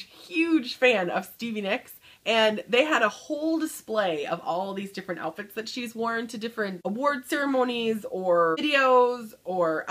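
A woman's voice: on the high side, a bit bright. A woman in her thirties chatting casually to camera in a small room at home, upbeat and chatty, trailing off at the ends of her thoughts. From Michigan. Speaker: book vlogger.

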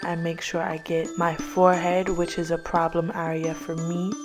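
A woman's voice: fairly low pitch, monotone, normal speed, over loud music. A woman in her twenties narrating her nightly skincare routine in a neat, upbeat voice-over. She is ill and losing her voice. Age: 20s. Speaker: beauty vlogger.

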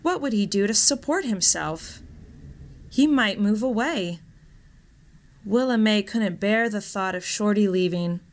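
A woman reading a scripted story in a measured, expressive storytelling manner. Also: medium pitch. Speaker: story reader, actor.

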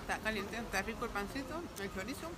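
A woman's voice: mid-range voice, fast, noisy background. A young woman chatting upbeat in a casual travel vlog, filming herself on a phone at arm's length at an outdoor Christmas market. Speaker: travel vlogger. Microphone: phone held at arm's length. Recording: busy outdoor market with loud music and crowd. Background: music and crowd.

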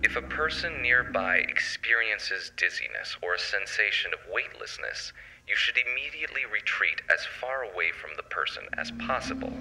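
A man playing a calm official announcer on an emergency information tape, with measured, clinical delivery. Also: lowish voice, creaky, full character voice, rough recording. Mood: grave, matter-of-fact. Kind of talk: scripted public-information narration.

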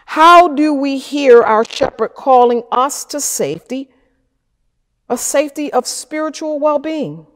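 Slow, measured woman preaching in a church. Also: sits high, animated. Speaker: pastor. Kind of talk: sermon. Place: wood-paneled church chancel, at a lectern. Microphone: fixed camera at a distance. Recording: church with a long reverb tail.

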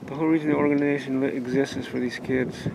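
A man's voice: mid-range voice, flat delivery, quick pace, vocal fry. A man showing off his sailboat on a marina dock, talking in a rambling, upbeat way with lots of fillers. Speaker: skipper of a youth sailing nonprofit. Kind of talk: casual walkthrough vlog. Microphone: handheld camera mic. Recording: loud wind and water noise outdoors. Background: wind and boat ambience.

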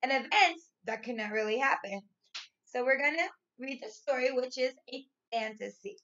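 A woman in her thirties, a primary school teacher reading and explaining to young children in an expressive, clearly enunciated way. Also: sits high, normal speed, highs cut off. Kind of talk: read-aloud story and lesson for young children. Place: small furnished room at home, indoors.

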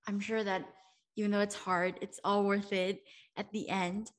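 A woman's voice: mid-range voice, little pitch movement, normal speed. A young woman hosting a podcast over a video call, effusive and full of "like" fillers. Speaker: podcast host. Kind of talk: casual interview chat. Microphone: headset and studio mic on video call.

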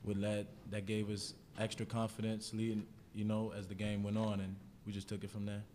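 A young male college basketball player giving laid-back, halting answers into a table mic at a press conference. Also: on the low side, monotone, a little mellow, a little hiss or hum. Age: young adult.

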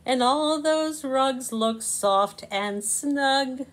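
A meek, sleepy little bug, as a woman reading a storybook puts him on. His lines come out slow and stretched, in a slightly high voice, like someone too tired to hurry. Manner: slow, drawn-out storybook delivery.

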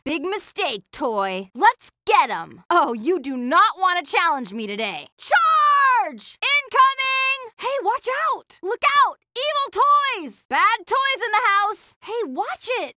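Snarky goth teen girl for a video game, cynical eco hero, super animated, dry close sound. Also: very high-pitched, slow pace, hardly any pauses, no echo, exaggerated voice. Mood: cocky, then battle-excited. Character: sarcastic, feisty teenage goth eco-activist girl heroine. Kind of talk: video game character voice lines.

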